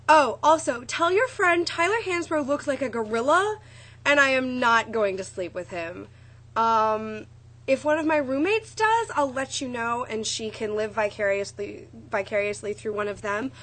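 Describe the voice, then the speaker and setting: A young woman with a slightly raised pitch and a touch of hoarseness chats to her friends on a webcam. She rambles cheerfully, full of fillers and asides. The sound is a small dorm room picked up at close range. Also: fairly bright tone. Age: young adult. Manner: chatty, bubbly, rambling with many fillers. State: a little hoarse. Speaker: vlogger. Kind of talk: casual chat to friends.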